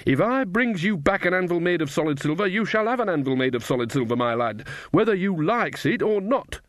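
A man voicing a bossy, cheerful old village witch mother in a pitched-up, chatty rustic dialect. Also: very animated, normal speed, dry recording.

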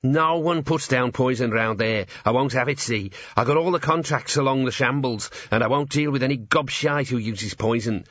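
Man voicing a pugnacious little gnome, pitched up. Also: moderate pace, a bit bright, no room sound, highs cut off, lightly acted. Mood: boastful, insistent. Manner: combative, rough ungrammatical slangy speech. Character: tiny, belligerent, cocky gnome rat-catcher, adult male. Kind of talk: character voice in audiobook narration.

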